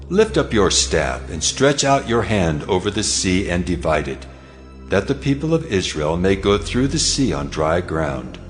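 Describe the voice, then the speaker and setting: A solemn, commanding God speaks in a scripture audio drama. The voice is low and swings widely in pitch, deliberate and grand. Music plays behind it. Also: male voice. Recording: long reverb over music.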